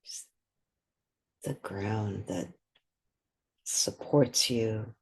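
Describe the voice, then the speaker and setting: A woman leading a guided meditation, speaking gently and unhurriedly, with long pauses between short phrases. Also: very deep voice, breathy edge.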